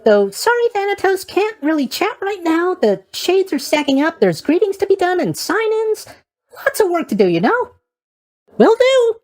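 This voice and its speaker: A man's voice: very high voice, wildly swooping pitch, normal speed, darkish tone, heavily acted. A man voicing a chatty, eager-to-please young god of sleep in a video game, rambling along with bubbly asides. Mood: friendly. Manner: rambling, bubbly, hesitant asides, tag questions. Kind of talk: video game character voice acting.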